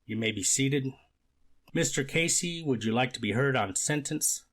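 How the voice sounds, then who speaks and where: Man playing a deliberate, skeptical judge, mid-pitched. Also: a bit bright, lightly acted. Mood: official. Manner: formal, deliberate, hedging and self-correcting. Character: skeptical, deliberative male judge presiding over hearing. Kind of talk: readers theater of a court transcript.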